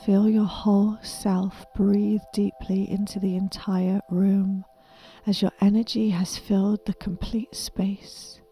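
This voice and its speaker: A woman with a soft, breathy, whispery voice gently and soothingly guiding a meditation in a deliberate manner. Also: mid-range voice, flat delivery, faint music behind. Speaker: meditation guide. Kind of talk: guided meditation, soft half-whispered narration.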